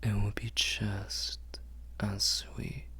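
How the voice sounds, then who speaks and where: Man voicing a slow, very deep, possessive vampire lord. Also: little pitch movement, pushed and bright, husky, heavily acted. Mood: hungry, coaxing. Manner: slow, murmuring, drifting into whispers, formal and courtly. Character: possessive, doting male vampire lord, ancient and hungry. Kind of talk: ASMR roleplay, intimate dramatic monologue.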